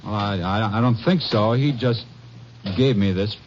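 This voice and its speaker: A man's voice: fairly low pitch, a little slow, lightly acted. A man playing a stammering nervous soldier. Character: nervous young male soldier, evasive and anxious. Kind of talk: 1950s radio drama acting. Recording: muffled old radio broadcast with faint hiss.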